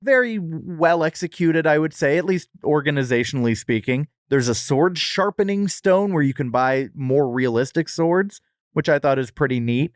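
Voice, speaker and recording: A man's voice: a little high, normal speed, dry recording, clean studio sound. A man co-hosting a podcast, giving a wry, descriptive review in casual, comedic conversation. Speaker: podcast co-host.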